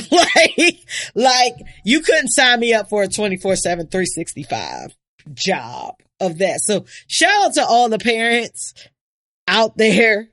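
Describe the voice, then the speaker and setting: A young woman hosting a podcast, chatting casually in an animated, laughing, profane way. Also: on the high side, slow pace. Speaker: podcast host and producer. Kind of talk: casual chat.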